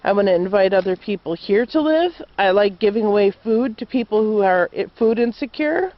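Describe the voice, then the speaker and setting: A woman homesteader speaks in an earnest, measured way. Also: mid-range voice, lively intonation, no room sound, dull audio. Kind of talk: plain spoken statement.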